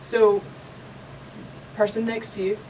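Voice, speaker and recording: A woman's voice: medium pitch, flat delivery, takes it slowly, recorded from a distance, background noise. A Scottish woman hosting a festival panel discussion, chatty and rambling with many restarts. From Scotland. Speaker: panel host. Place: conference room.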